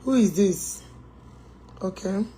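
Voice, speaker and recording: A woman's voice: mid-range voice, expressive, slow, very noisy. A Nigerian woman hosting a live call-in chat, scolding callers and mixing English with Igbo. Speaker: live show host.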